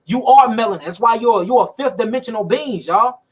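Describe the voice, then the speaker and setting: A man with a very high-pitched voice preaches in an emphatic, colloquial monologue. He repeats his points for effect and laughs often between them. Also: fairly quick, breathy edge. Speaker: alternative health speaker.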